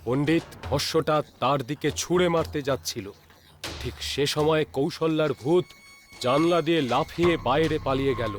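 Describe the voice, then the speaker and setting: A man's voice: a little high, normal speed, over music. A man narrating a scripted ghost story as a storyteller.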